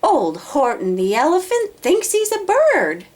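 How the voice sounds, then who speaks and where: A woman voicing mocking, gleeful animals shouting taunts in a children's storybook. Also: high-pitched, huge pitch swings, measured pace, pitched above the performer's own voice, full character voice. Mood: gleeful mockery. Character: jeering, gleeful crowd of animal friends. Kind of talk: children's storybook read-aloud.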